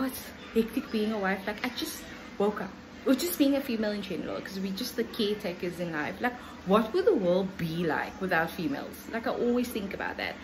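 A woman's voice: medium pitch, noisy background. A young adult woman with a South African accent, chatting informally as she vlogs from a room at home. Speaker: vlogger and mother. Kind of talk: casual vlog chat. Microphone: phone at arm's length.

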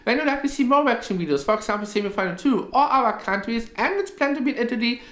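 A man's voice: sits high, a bit bright. Man reacting chattily in a roomy room. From Germany. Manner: chatty, enthusiastic, short remarks over the music. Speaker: reaction video host. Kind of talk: casual reaction commentary. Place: home room with a flag on the wall. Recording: roomy home room, faint music behind.

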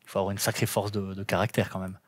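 Homme trentenaire, animateur télé, voix grave, questions détendues avec des euh, son sec. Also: male voice, low-pitched, little pitch movement, fast, dry recording. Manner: casual, hesitant questions with fillers. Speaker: host. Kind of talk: TV interview questions. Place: TV studio set.

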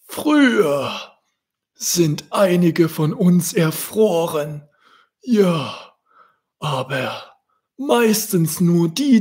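Man putting on a high-voiced elderly ladybird grandparent. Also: a little mellow, slightly rough, exaggerated voice. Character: elderly ladybird grandparent, reassuring, gently explaining.

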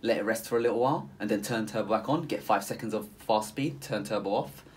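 A man's voice: on the low side, fast, slightly rough. A young man doing a casual tech vlog at his home desk, talking straight to the camera with chatty enthusiasm. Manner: chatty, gets loud and giddy with excitement. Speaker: tech reviewer. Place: home desk room with monitors, indoors.

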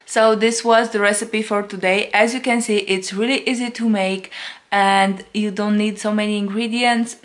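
A woman's voice: medium pitch, steady tone, normal speed. A woman in her thirties talking casually as a cooking vlogger, recorded indoors at home by a camera a short distance away. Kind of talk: casual recipe vlog.

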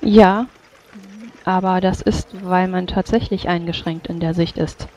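A woman's voice: fairly low pitch, fairly even intonation, unhurried, darkish tone, slight background noise. Woman roleplaying a polite formal questioner. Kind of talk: in-character roleplay dialogue in an online game.

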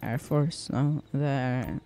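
A man's voice: mid-range voice, steady tone, slow pace, dark tone, slight background noise. A young man from Sweden with a Swedish accent, rambling through casual gameplay commentary with many fillers and restarts. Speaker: gaming YouTuber.

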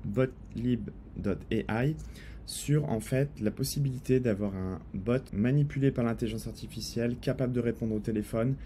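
A man's voice: mid-range voice, a little fast, warm tone. A middle-aged man, a native French speaker with a French accent, presenting and talking casually in a demo. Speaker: presenter and caller. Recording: clear background noise.

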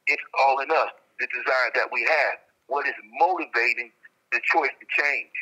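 Very sharp, bright male phone voice. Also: mid-range voice, moderate pace. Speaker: interviewed guest, prison inmate calling. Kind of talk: reflective, explanatory conversation. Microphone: telephone. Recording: telephone line from prison.

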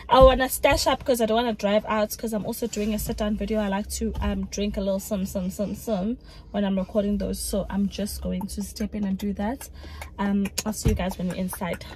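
A woman's voice: mid-range voice, level tone, moderate pace, darkish tone, slight background noise, rough recording. A young woman, chatting casually to a phone camera as a vlogger while running errands, laughing often. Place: car and shops, out and about.

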